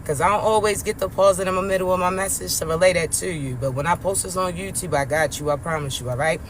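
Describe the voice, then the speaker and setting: A speaker with a raspy voice giving an emphatic motivational spiritual message to viewers, often checking in with the listener. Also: medium pitch, fast talker, fairly bright tone, slight background noise. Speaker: spiritual message content creator.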